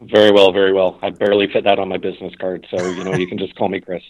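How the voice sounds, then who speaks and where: A man's voice: low-pitched, little pitch movement, quick pace, rough recording. A man answering as a podcast guest, explaining fluently with frequent "uh" and "you know" fillers. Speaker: guest, tech manager. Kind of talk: casual interview answers.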